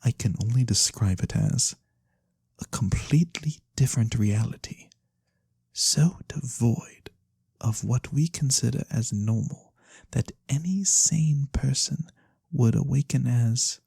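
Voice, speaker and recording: Soft, husky, mysterious fantasy lorekeeper, played by an ASMR performer. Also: medium pitch, no room sound, studio quality, full character voice. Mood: eerie. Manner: theatrical, coy, deliberate pauses. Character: sly mysterious nonhuman lorekeeper, fortune-telling storyteller for coin. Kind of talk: soft-spoken ASMR fantasy roleplay, storytelling.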